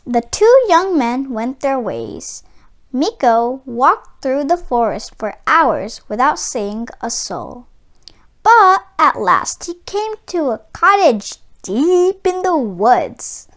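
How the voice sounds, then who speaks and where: A woman reading a children's book aloud as expressive storybook narration. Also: sits high, takes it slowly.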